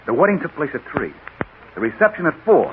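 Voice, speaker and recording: An actor playing a laconic, wisecracking newspaper photographer sleuth in a 1940s radio drama, casual and terse. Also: male voice, fairly high pitch, very animated, quick pace. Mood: dry. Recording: tinny 1940s radio recording, faint noise.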